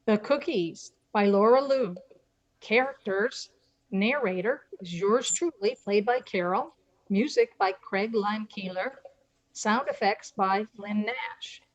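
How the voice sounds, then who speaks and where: Slow-reading woman narrator, muffled sound. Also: mid-range voice. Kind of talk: scripted radio-play announcing.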